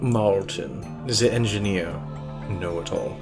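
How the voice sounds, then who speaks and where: An actor playing a sinister, brooding scientist who narrates in a measured, theatrical and wryly menacing way. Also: male voice, fairly low pitch, vocal fry. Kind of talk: dramatic scripted narration. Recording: music bed behind the voice.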